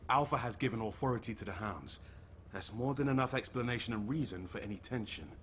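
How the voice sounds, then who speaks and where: A man's voice: mid-range voice, fast, a little hiss or hum, natural voice. A man playing a stern military squad commander in a video game, speaking in a formal, clipped, businesslike manner. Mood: stern, serious. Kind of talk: video game mission dialogue acting.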